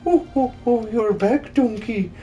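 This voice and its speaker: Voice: medium pitch, unhurried, mellow, faint music behind, pitched above the performer's own voice, lightly acted. A performer voicing a jolly, fussy old hermit who stutters by repeating words in threes, for a video game dub. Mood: jolly, excitable. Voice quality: stuttering triple repetition of words. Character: excitable old hermit with a repeating verbal tic.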